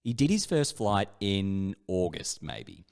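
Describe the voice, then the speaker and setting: A man's voice: sits low, very animated, slow, gravelly, a little mellow. A man with an Australian accent chatting casually as a podcast co-host, jokey and rambling. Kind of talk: casual chat.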